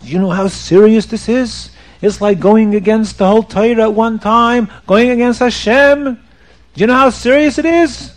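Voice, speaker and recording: A man's voice: sits high, fairly even intonation, normal speed, pitched above the performer's own voice, lightly acted. A man voicing a stern, harsh rabbi in a religious tale, scolding emphatically and demanding penance. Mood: grave scolding. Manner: scolding, emphatic, relentless rhetorical questions. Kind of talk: oral religious storytelling, character voice.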